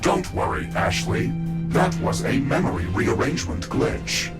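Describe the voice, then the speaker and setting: Deep robotic mecha-suit AI. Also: male voice, sing-song, unhurried, creaky, loud music behind the voice, heavily acted. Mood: reassuring, factual. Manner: clipped, formal, technical reporting. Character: calm, matter-of-fact male AI of a mecha suit. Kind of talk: scripted sci-fi game dialogue.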